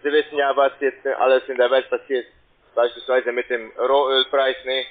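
A man working as a call centre agent, making a halting sales pitch with repeated words over the phone. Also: little pitch movement. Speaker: call centre agent selling trading investments. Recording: tinny narrowband phone line.

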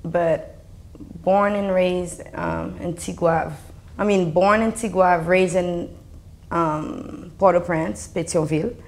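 Young Haitian woman, Haitian accent, low voice, earnest candid interview talk, seated indoors. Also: fairly even intonation, takes it slowly, a little hiss or hum. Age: young adult. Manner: earnest, switching between English and Haitian Creole. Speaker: interview guest, artist. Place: indoor studio with plants.

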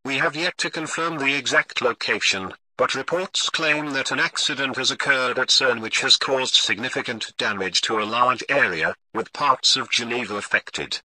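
A man playing a calm, formal television emergency news announcer, reading a scripted bulletin in a measured way. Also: medium pitch, no room sound, poor audio. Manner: formal, measured, read-out delivery.

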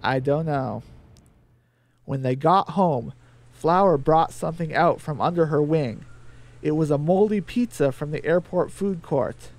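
A man's voice: fairly high pitch, moderate pace, a little mellow. A man narrating a scripted radio play as its storyteller.

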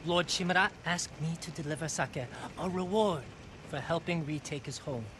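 Male actor as a wheedling, cheeky merchant, slightly high, faint hiss. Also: normal speed, natural voice. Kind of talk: video game cutscene acting.

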